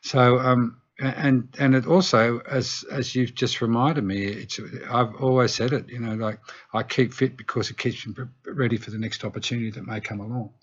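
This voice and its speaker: A man's voice: medium pitch, steady tone, normal speed, few pauses, slightly rough. Rambling sixty-five-year-old man. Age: 60s. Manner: rambling, lots of restarts and fillers. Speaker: guest, record producer. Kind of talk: casual interview chat. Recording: dull lo-fi recording.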